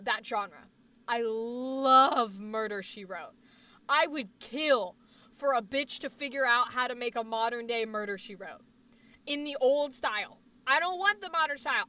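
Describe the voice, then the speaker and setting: A woman's voice: high-pitched, bright, forceful tone, recorded from a distance. A woman hosting a casual livestream chat, talking in a rambling, emphatic, opinionated way, with a slight Boston accent. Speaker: livestream host.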